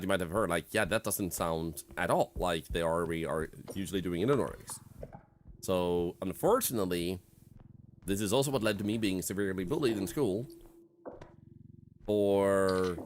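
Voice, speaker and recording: A man's voice: deep voice, slight background noise. A young man with a Swedish accent, chatting candidly on a game stream in a reflective, rambling way full of fillers. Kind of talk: casual gaming stream chat.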